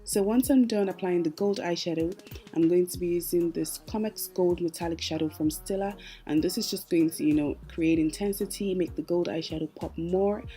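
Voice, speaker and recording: Young woman, low mellow voice, chatty makeup voice-over, soft music behind. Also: normal speed. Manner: chatty, explains each step. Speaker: makeup artist.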